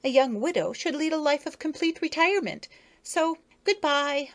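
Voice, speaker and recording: A woman's voice: high voice, animated, normal speed, voice pushed up. A woman voicing a haughty young widowed female beetle, fussy and superior.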